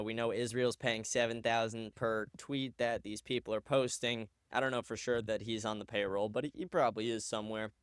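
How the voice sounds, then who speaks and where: A man's voice: medium pitch, a bit bright, smooth, no echo, studio quality. A man hosting his own live stream, reacting to clips and posts in a blunt, profane, ranting way. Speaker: show host.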